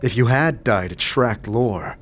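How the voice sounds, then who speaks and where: A man playing a brooding, remorseful immortal wanderer in a fantasy audio drama, speaking in an earnest, searching, deliberate way. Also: medium pitch, a little hiss or hum, pitched above the performer's own voice, natural voice. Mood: remorseful, insistent.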